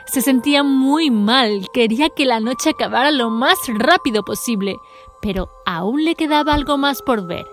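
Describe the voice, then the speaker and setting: A woman's voice: high voice, lively intonation, moderate pace, soft background music. A woman reading scripted storybook narration as the narrator of an audio drama.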